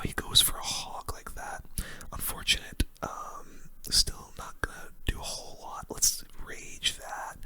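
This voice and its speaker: This is a gaming host who speaks entirely in a whisper, close to the mic. The sound is bright and crisp on top, with a relaxed delivery suited to ASMR game commentary.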